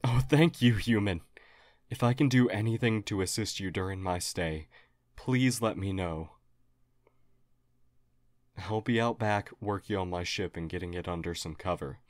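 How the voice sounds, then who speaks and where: A man's voice: sits low, moderate pace, pauses often, no echo, clean studio sound, natural voice. A man playing a friendly alien historian, formal, earnest and hesitantly apologetic, in an audio roleplay. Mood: apologetic, flustered. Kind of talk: audio roleplay acting, addressed to listener.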